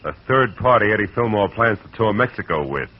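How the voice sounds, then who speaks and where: Uomo che fa un detective duro dalla voce profonda. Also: male voice, fairly low pitch, animated, fairly quick, natural voice. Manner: hard-boiled, clipped, sardonic. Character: tough, wry male private detective. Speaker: private detective narrator. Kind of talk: 1940s radio acting. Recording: dull old radio recording with music.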